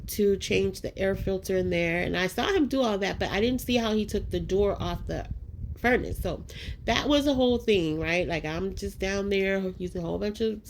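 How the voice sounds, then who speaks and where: A woman's voice: mid-range voice, normal speed, slight background noise. A woman with a nasal, allergy-stuffed voice, chatting casually through a voice-over about her craft project, with lots of ums and likes. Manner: chatty and self-deprecating, many ums and likes, addresses viewers as y'all and guys. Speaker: craft vlogger.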